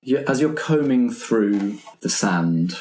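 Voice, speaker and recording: A man improvising narration as game master of a tabletop roleplaying game, with halting phrasing. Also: mid-range voice, expressive, a little mellow. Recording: roomy, echoey tabletop recording.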